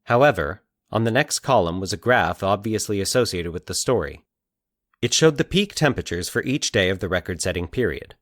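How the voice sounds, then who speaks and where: A man narrating a scripted, eerie fiction story as an expressive storyteller. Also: low-pitched, a little fast, no echo, clean studio sound.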